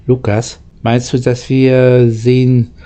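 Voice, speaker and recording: A man's voice: medium pitch, little pitch movement, slow pace, mellow, smooth, natural voice. A man voicing an anxious, trusting young boy traveller in a storybook, with a subdued, questioning manner. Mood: worried.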